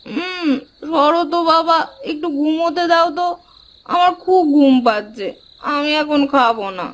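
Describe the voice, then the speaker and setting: A woman playing a girlish, whiny young village daughter in an animated folktale, speaking in a pleading way. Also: high-pitched, measured pace. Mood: sleepy, whiny.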